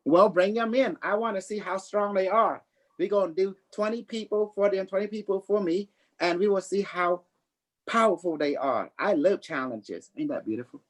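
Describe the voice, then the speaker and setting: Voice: mid-range voice, moderate pace. Boastful psychic reader with rambling speech. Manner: boastful, playful; rambling, non-standard English grammar. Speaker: psychic reader, host of a livestream. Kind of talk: casual improvised psychic reading chat.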